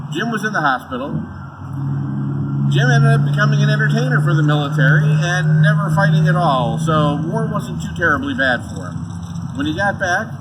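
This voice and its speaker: A man with a high voice narrates a scripted introduction like a storyteller. His pitch swings widely as he presents. He is recorded some way from the mic, with noticeable noise around him. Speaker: presenter introducing a radio tribute. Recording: distant mic, clear background noise.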